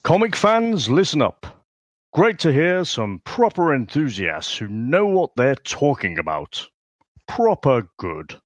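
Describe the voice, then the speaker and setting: A man putting on a hearty male thunder god voice, loud and mock-grand. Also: medium pitch, big pitch swings, slow, dry recording, clean studio sound, pitched above the performer's own voice. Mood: booming.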